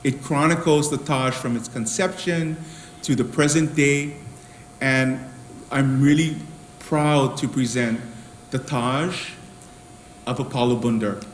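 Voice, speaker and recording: Man presenter, slow and slightly high, echoey room. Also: slight background noise. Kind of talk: introducing a book.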